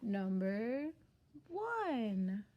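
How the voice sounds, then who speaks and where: A woman preschool teacher reading a picture book aloud to young children in simple, sing-song phrases, pausing after questions. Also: medium pitch, very animated, a little mellow, muffled recording, slight background noise. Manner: simple short phrases with deliberate pauses for answers.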